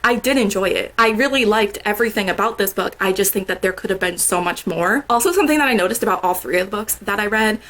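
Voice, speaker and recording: A woman's voice: mid-range voice, fast, hardly any pauses, fairly bright tone. A young woman talking casually about a book she finished, filling her talk with "like" and laughing often; she sounds a little congested. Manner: chatty, lots of "like" and "I don't know", laughs often. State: congested after a sore throat. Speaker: book vlogger. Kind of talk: casual book review vlog.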